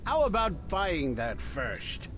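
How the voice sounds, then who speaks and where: A man voicing a chatty, obliging weapons merchant from the musket era in a video game, talking in unhurried salesman patter. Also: on the high side, wildly swooping pitch, a bit bright, slight background noise, heavily acted. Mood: helpful, showy. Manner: unhurried, conversational salesman patter with dramatic pauses. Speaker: game shopkeeper character.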